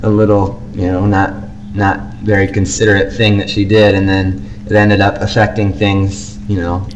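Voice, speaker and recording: Lowish-voiced man chatting over background noise. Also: level tone, moderate pace. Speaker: podcast co-host. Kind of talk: casual review discussion.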